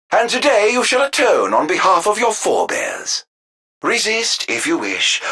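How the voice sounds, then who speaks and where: A man's voice: high voice, pushed and bright, husky, dry recording, full character voice. An actor playing a theatrical, vengeful fallen king turned immortal villain in a video game, with grandiloquent, ornate diction. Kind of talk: dramatic video game voice acting.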